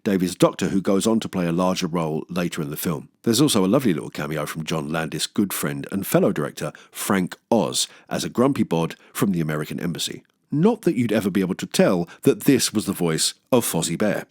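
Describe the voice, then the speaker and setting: British male film reviewer, wry and self-deprecating, fairly deep voice, very expressive pitch, dry close sound. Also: a little fast, dry recording. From England. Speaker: film review video host. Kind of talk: humorous scripted film commentary.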